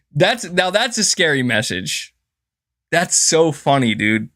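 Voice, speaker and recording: A man's voice: on the high side, big pitch swings, slow pace, a bit bright. A man hosting a livestream in casual, chatty commentary, who often chuckles and says "dude" and "man". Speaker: livestream host.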